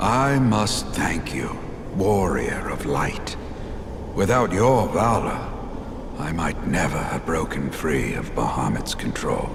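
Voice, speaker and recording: An actor playing a wise, loving old grandfather in a fantasy game, with gravelly voice and slow, solemn, archaic, theatrical delivery. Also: rumbling low, very animated, noisy background, exaggerated voice. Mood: tender, weary. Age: older. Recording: long cavernous reverb under music.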